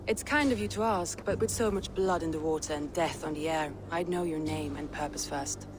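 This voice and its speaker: Woman playing a Viking war leader, proud, resolute, commanding, earnest, dramatic game acting, music clearly behind the voice. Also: low-pitched, fairly quick, lightly acted. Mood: guarded, weary.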